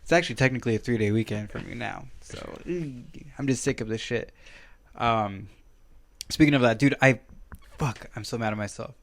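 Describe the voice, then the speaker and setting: A man's voice: mid-range voice, brisk. A young man co-hosting a podcast, chatting casually and swearing freely, recorded on a studio mic in a small room. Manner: laid-back, swears freely, storytelling. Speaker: podcast co-host. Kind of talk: casual chat. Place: small room with wood-panel wall. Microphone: studio mic on stand, headphones.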